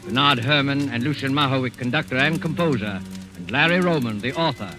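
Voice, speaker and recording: An actor playing a sinister, suave host who narrates a 1940s radio mystery show in a measured, theatrical manner. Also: male voice, medium pitch, over music, natural voice. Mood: suavely ominous.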